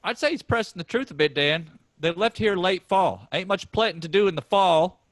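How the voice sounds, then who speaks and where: A man reading the part of a gruff, scornful middle-aged farmer father, quick to anger. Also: sits high, lively intonation, no echo. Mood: angry. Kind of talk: staged play reading.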